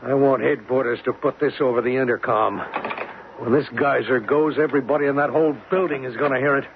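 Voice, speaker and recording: An actor playing an impatient, blustery oil drilling boss in a radio drama, brusque and sarcastic. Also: male voice, mid-range voice, fairly even intonation, a little fast, close to an everyday voice. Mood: commanding. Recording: noisy, muffled old radio broadcast.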